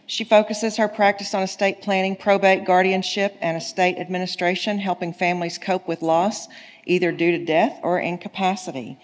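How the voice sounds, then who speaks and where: A woman's voice: fairly low pitch, steady tone, normal speed. A woman moderator giving a brief, formal introduction of the next speaker. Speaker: event moderator. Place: conference hall. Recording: reverberant hall.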